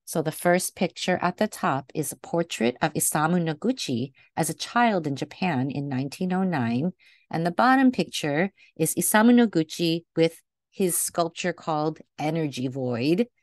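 A woman reading a picture book aloud to children in a gentle, deliberate way, recorded indoors over a video call. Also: deep voice, lively intonation, dry recording, studio quality. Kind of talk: read-aloud storytelling for children.

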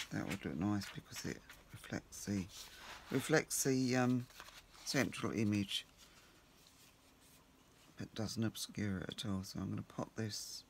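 A man with a croaky voice, chatting casually to himself while he works at a home craft table as host of his crafting channel. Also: on the low side, slight background noise.

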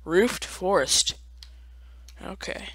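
A man's voice: sits high, expressive, extremely slow, a bit bright. Chatty young man narrating gameplay. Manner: chatty, self-mocking, playful sing-song asides. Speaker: let's play gamer. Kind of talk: casual gameplay commentary.

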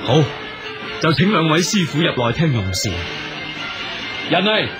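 An actor playing a wealthy household master in a radio drama, courteous, exclamatory and credulous. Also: male voice, fairly high pitch, big pitch swings, a little slow. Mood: polite, concerned. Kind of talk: Cantonese radio drama acting. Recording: music behind the dialogue.

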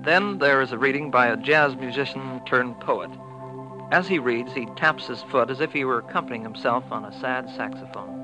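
A man's voice: medium pitch, moderate pace, over music, rough recording. A man delivering scripted narration for a 1960s radio documentary.

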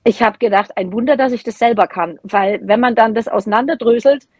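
A woman's voice: a little high, brisk, no room sound, studio quality. A middle-aged woman, chatting casually and candidly as a podcast guest. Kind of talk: casual chat. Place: small room at home, indoors.

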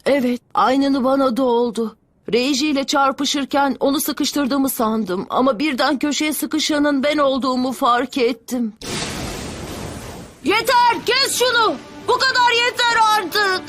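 A woman's voice: sits high, lively intonation, unhurried, a bit bright. A woman voicing a small, earnest young boy with a vulnerable, friendly manner in a dubbed cartoon. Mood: anguished, fearful.

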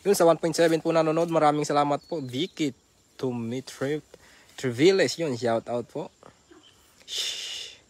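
A man with a Filipino accent chatting casually as he reads out shout-outs and thanks livestream viewers. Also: fairly high pitch, unhurried, no echo. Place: outdoors on a grassy waterside bank. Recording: clearly noisy outdoor phone recording.

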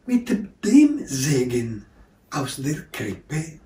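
An older man from Italy, a priest slowly giving a New Year's blessing from a room at home, filmed by a camera a little way off. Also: mid-range voice, wildly swooping pitch, mellow. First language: Italian. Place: living room with window, indoors. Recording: echoey room, mic at a distance.

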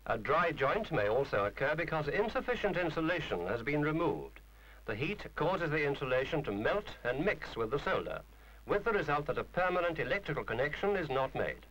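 A man's voice: a little high, very animated, a little fast, fairly bright tone, poor audio. A man reading a scripted instructional film narration in a formal, precise, didactic manner.

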